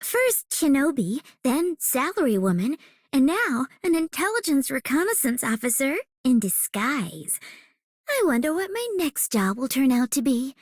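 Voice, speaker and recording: A woman's voice: high-pitched, sing-song, a little slow, no room sound, clean studio sound. A woman voicing a wry, playful ninja turned undercover officer in a game, in a musing manner. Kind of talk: game character voice line.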